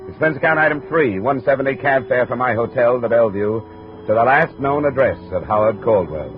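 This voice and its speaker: A man's voice: medium pitch, expressive, a little fast, lightly acted. An American man playing a dry, businesslike private investigator in a 1950s radio drama, clipped and matter-of-fact. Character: dry, businesslike male private investigator narrating his case. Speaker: lead actor and narrator. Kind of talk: 1950s radio detective acting, scripted narration. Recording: muffled, tinny old radio recording, music behind.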